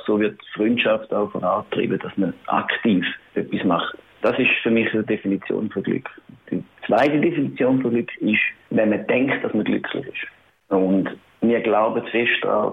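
A low-pitched man with a Swiss German accent from Schaffhausen talks through a tinny, phone-like line. His voice is gravelly, and he answers casually, halting over fillers and restarting his sentences. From Switzerland (Schaffhausen). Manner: hesitant, many fillers and restarts, laughs often. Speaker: band musician.

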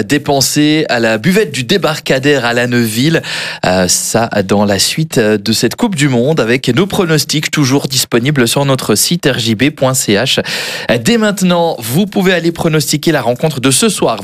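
A man hosting a morning radio show, reading announcements briskly with some stumbles. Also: mid-range voice.

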